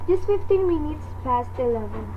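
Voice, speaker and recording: Dull lo-fi sound, actress as polite telephone operator, high voice, formal, patient, officious, radio drama. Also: female voice, expressive, normal speed. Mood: mildly puzzled. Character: polite, unhurried hospital receptionist and switchboard operator. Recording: dull, slightly noisy recording.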